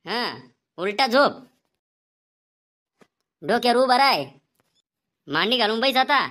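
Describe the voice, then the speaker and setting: A man's voice: very high voice, lively intonation, takes it slowly, background noise, full character voice. A man voicing a rude, bragging male quack doctor in a comedy cartoon, scolding in a Vidarbha Marathi accent. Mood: bossy. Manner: brusque, scolding, boastful.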